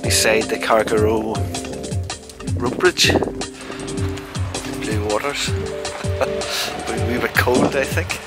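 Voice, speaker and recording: A man in the middle of his pitch range talks slowly and easily as he vlogs a road trip. He muses aloud and chuckles softly. He is outdoors on a coast, with loud music playing under his voice. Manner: relaxed, musing, chuckles softly. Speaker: travel vlogger. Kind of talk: casual vlog narration.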